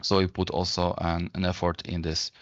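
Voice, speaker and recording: Guy doing a company webinar, low even voice, stop-start phrasing, kinda muffled recording. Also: dry recording. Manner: halting, frequently repeating and rephrasing words. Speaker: software company presenter. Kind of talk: webinar presentation, explanatory.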